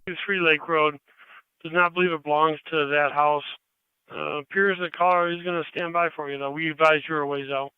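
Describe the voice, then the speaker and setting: A man's voice: fairly high pitch, steady tone, brisk, clean tone, dry recording. A man working as a dispatcher, plainly relaying information over a two-way radio. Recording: thin phone-like scanner radio audio.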